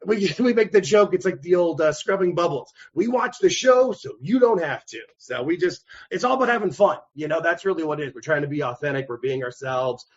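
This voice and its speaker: Energetic man rambling in a lo-fi recording. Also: on the high side, fairly quick, few pauses. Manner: energetic, rambling, self-correcting. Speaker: podcast guest. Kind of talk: casual interview chat. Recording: dull lo-fi sound.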